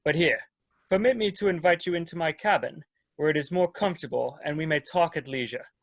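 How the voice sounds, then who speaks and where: Middle-aged general for sci-fi reading, male actor: courteous, hearty, a touch high, dry sound. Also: fairly quick, no room sound. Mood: hearty courtesy. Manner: formal, hearty, polite. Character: middle-aged jovial courteous military general of a space fleet. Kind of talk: dramatic reading of a science fiction story.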